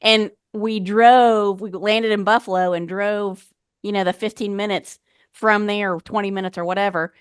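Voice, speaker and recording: A woman co-hosts a podcast in easy, animated chat and pokes fun at herself. She is from New York. Her voice sits at a middle pitch and sounds dry and close to the mic. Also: moderate pace, clear, resonant tone, dry recording. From New York State. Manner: chatty, animated, self-deprecating. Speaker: podcast co-host.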